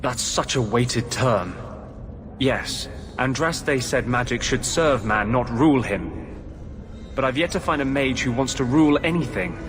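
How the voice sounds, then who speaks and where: A man's voice: medium pitch, lively intonation, measured pace, close to an everyday voice. Guy acting as a principled rebel mage, intense and earnest, game dialogue with music under it. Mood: earnest, determined. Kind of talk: dramatic video game voice acting. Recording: music behind the voice.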